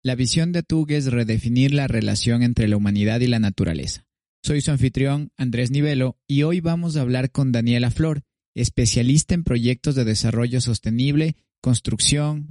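A man, a podcast host reading a scripted intro and headlines in an even delivery. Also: medium pitch, no echo, studio quality. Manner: even, read-aloud delivery.

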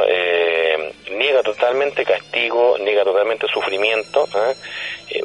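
A man with a Chilean accent explaining things conversationally as a radio guest, didactic and halting, often checking that he is being followed. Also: fairly low pitch. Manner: didactic, halting, frequently seeks confirmation. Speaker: radio guest on paranormal topics. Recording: dull, band-limited phone or radio line.

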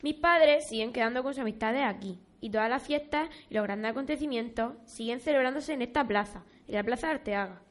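A woman playing a cheerful, inquisitive young granddaughter, chatting and gently teasing in a community radio play. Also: fairly high pitch, fairly quick, hardly any pauses, natural voice. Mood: friendly, teasing. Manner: eager, inquisitive, affectionately teasing.

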